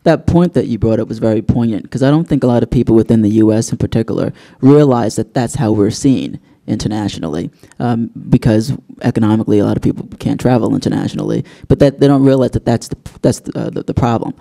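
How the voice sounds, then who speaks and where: A male journalist speaks on a university panel with a gravelly, mellow voice. He talks in an earnest, measured way and leans into storytelling to make his point. The delivery is thoughtful rather than showy. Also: lowish voice. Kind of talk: panel discussion. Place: university event hall.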